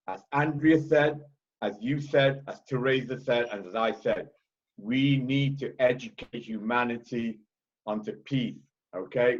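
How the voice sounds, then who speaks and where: A man with a Liverpool-tinged British accent speaking at a conference, loud and blunt, repeatedly asking "okay?". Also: mid-range voice, lo-fi sound. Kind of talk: heated panel talk.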